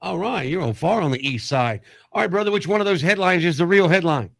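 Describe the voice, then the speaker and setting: A man hosting a morning radio show, bantering and laughing easily between news bits. His voice sits a little high. The studio sound is dry and close. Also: lively intonation, fast, creaky, fairly bright tone, no echo. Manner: joking, laughs often, reads news stories aloud. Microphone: studio microphone.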